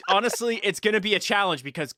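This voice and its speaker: Animated, bright-voiced woman hosting. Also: medium pitch, fast talker, dry recording, clean studio sound. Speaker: podcast host. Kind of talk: casual show introduction and chat.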